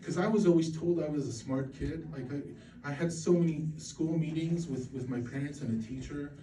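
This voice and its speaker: Male stand-up comic, forties, from Poland, mellow low-key delivery, roomy club sound from a distance. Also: normal speed, slight background noise. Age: 40s. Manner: rambling, self-mocking, with crude punchlines and frequent restarts. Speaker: stand-up comedian. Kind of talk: stand-up routine. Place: comedy club stage.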